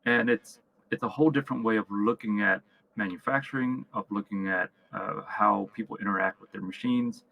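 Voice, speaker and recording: A man's voice: fairly low pitch, gravelly, muffled recording, slight background noise. A man from Vietnam and upstate New York giving conversational interview answers as a company founder, restarting often and checking in with "right?". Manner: halting, repeats words, often checks in with "right?". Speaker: company founder and chief executive, interview guest.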